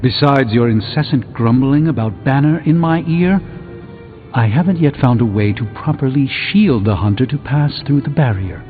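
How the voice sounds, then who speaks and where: A man's voice: mid-range voice, animated, normal speed, close to an everyday voice. A man playing a haughty, erudite middle-aged sorcerer in a video game, speaking formally with precise, pompous diction. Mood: irritated. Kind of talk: scripted video game acting. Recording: thin phone-like band, music behind.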